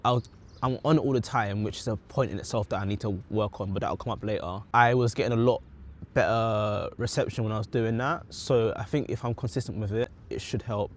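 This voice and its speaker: A man's voice: lowish voice, fairly quick, slight background noise. Young British man, reflective vlogger. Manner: reflective, rambling, self-correcting. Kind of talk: casual talking-head vlog.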